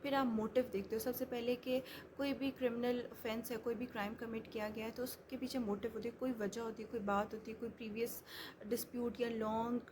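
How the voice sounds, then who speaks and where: A woman's voice: fairly high pitch, level tone, a little fast. Woman lecturing over background noise. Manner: explains step by step, many hesitations and restarts. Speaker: law lecturer. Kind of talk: explanatory lecture. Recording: noisy background hiss.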